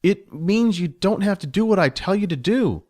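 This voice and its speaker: A man's voice: high voice, lively intonation, normal speed, darkish tone, pitched above the performer's own voice. Jess, a man doing an earnest young hero. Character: earnest young man, confused but kind.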